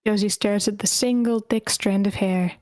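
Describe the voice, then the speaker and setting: A woman's voice: mid-range voice, fairly even intonation, normal speed, darkish tone, dry recording. A woman reading stage directions aloud as the narrator at a screenplay table read, in short clipped phrases.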